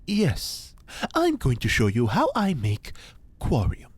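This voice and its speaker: A man's voice: mid-range voice, huge pitch swings, slow pace, husky, dry recording, exaggerated voice. An actor playing an eccentric, pompous male scientist who lectures grandly and theatrically in an audio drama. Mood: fussy. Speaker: reporter's informant.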